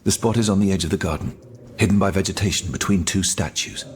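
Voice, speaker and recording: A man voicing a courtly, fatherly older French astronomer in a video game, fussy and expressive, with a French accent. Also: low voice, fast talker, slight background noise, lightly acted. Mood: reflective. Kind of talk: scripted video game cutscene dialogue.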